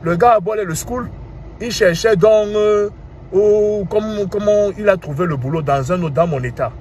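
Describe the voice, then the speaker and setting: A man's voice: high-pitched, normal speed. A man in his thirties with a Cameroonian French accent, talking casually and animatedly, asking rhetorical questions. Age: 30s. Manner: animated, asks rhetorical questions, repeats "hein". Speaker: commentator. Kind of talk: casual monologue. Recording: clear street and traffic noise.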